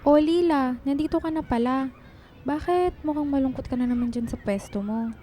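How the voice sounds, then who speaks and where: An actress playing a chatty, teasing young best friend in a radio drama. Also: female voice, high voice, moderate pace, warm tone, a little hiss or hum, lightly acted. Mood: teasing, friendly.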